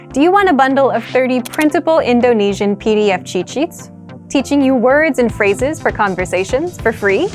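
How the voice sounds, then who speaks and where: Woman announcer, high bright voice, upbeat polished ad read, faint music under. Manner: upbeat, polished sales pitch. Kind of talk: promotional ad read.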